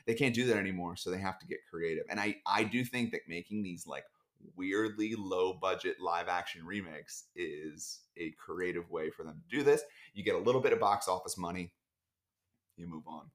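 A man as a podcast guest in casual chat, rambling with dark deadpan jokes over a video call. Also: low voice, moderate pace.

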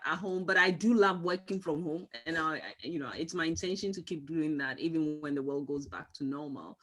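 A woman hosting a monthly interview series on a video call, effusive in her introductions and speaking slowly. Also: on the low side, fairly bright tone. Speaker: host and company CEO. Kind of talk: hosted interview.

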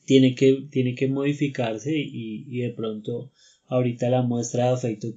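A man hosting a talk, speaking in a measured way and often checking in with tag questions. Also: medium pitch, flat delivery, dark tone, highs cut off. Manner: measured, thinks aloud, frequent tag questions. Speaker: podcast host. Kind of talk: casual conversation.

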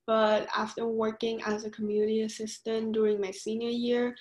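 A woman's voice: fairly high pitch, a little mellow. Young Vietnamese woman in an echoey room. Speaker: graduate student guest.

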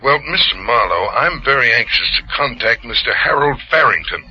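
An actor playing a courteous, earnest estate lawyer in an old-time radio drama, polite and formal. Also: male voice, mid-range voice, animated, moderate pace, breathy edge. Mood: polite, anxious. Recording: muffled vintage radio recording.

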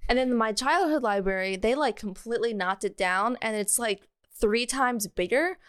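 A woman's voice: on the high side, normal speed, a bit bright, smooth. An American woman co-hosting a podcast in casual, comedic chat, rambling and giggly as she goes. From Maryland, United States. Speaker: podcast co-host.

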